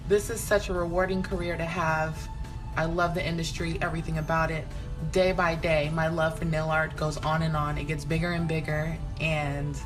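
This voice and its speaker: A young woman talking earnestly and casually in a personal contest audition video. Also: low voice. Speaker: nail artist, contest entrant. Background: music.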